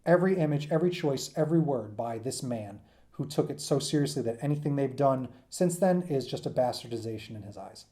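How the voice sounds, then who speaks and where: A man's voice: mid-range voice, animated, a little fast, dark tone. A man giving an informal lecture to students in an enthusiastic, emphatic, rambling conversational way, as their teacher.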